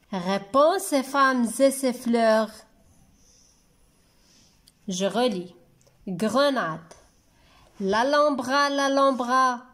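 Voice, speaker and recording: Female poetry reader for a school lesson: high voice, extremely slow, deliberate phrasing. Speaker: teacher reading a poem for pupils. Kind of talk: slow poetry recitation for dictation and reading lesson.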